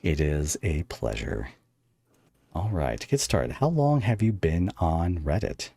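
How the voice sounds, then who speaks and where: Guy podcast host, really low voice that moves around a lot, dry close sound, rambles and stops and starts. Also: big pitch swings, unhurried, gravelly, dark tone, no echo. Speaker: podcast host interviewing a guest. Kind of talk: casual interview chat.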